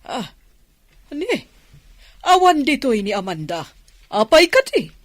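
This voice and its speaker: A woman's voice: high voice, very animated, measured pace, no room sound, studio quality, full character voice. A woman with an Ilocano Filipino accent playing an anxious, fretting older mother in a radio drama. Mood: worried. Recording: noisy vehicle ambience behind.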